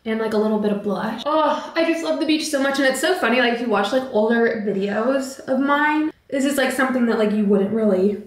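A woman's voice: fairly high pitch, a little fast, few pauses. A young woman vlogging at home in a casual, upbeat, gushing way, with lots of "like". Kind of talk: casual vlog narration. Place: home and patio, indoors and out. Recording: echoey with clear background noise.

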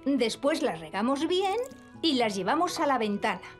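Casting: kind, patient cartoon nursery teacher, Castilian Spanish, slightly high, animated delivery. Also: female voice, normal speed, faint music behind. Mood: encouraging. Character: encouraging female preschool teacher, kind and patient. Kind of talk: children's cartoon dub acting.

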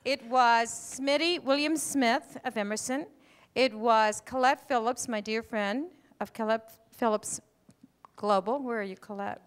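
A woman's voice: a little high, a little slow, clean tone. A middle-aged woman giving effusive, emphatic thank-you remarks on stage into a handheld microphone. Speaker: playwright and event host. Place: stage in an auditorium before an audience. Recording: live stage microphone with audience noise.

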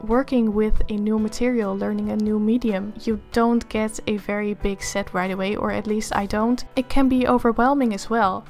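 A young woman with a Dutch accent, hoarse from a cold, chatting upbeat over a drawing tutorial video. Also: mid-range voice, steady tone, moderate pace, few pauses, darkish tone, soft background music. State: hoarse, losing her voice from a cold. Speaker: art tutorial creator. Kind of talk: casual voice-over for a drawing time lapse.

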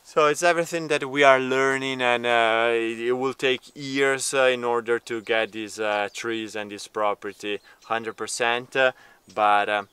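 Brief: thirties man, Italian native, hesitant vlog talk, bright and crisp. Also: mid-range voice, steady tone, measured pace, no room sound. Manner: hesitant, many "uh" fillers. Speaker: vlogger, homesteader. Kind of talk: casual vlog talk to camera. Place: outdoors in an olive grove by the sea.

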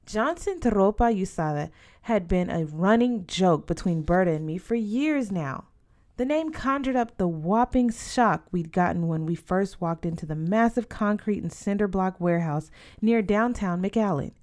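A woman's voice: medium pitch, lively intonation, normal speed, darkish tone. A woman reading scripted first-person fiction aloud as an audiobook narrator. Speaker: audiobook reader.

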